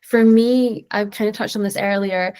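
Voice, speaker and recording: A woman's voice: medium pitch, steady tone, moderate pace, darkish tone. Earnest Scottish woman on a panel. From Scotland. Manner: earnest, reflective storytelling. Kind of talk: online panel answer.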